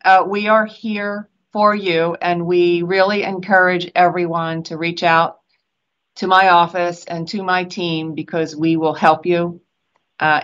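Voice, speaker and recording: An older woman moderating a public online meeting as an elected official, speaking in a deliberate, encouraging way over a video call. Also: lowish voice, a bit bright. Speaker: elected official hosting an event. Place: small office. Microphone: video call computer microphone. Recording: dull video call audio.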